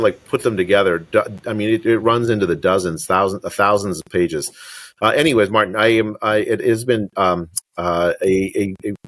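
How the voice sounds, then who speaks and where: A man's voice: lowish voice, fairly bright tone, no room sound. A man in his fifties hosting a podcast interview over a video call from a home study, talking with frequent restarts and fillers. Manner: halting, many restarts and fillers. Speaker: podcast host. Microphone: webcam on video call.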